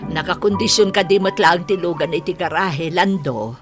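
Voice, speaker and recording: A woman's voice: lowish voice, moderate pace, music in the background, natural voice. A woman playing a hard-working, exasperated wife and mother in an Ilocano radio drama, plain-spoken and insistent. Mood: persuading.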